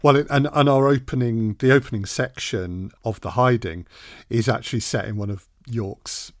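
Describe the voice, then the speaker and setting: A man's voice: mid-range voice, moderate pace, dry recording, studio quality. A man from Leicester with an English accent, hosting a casual podcast interview in a musing, conversational way. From Leicester, England. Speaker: podcast host.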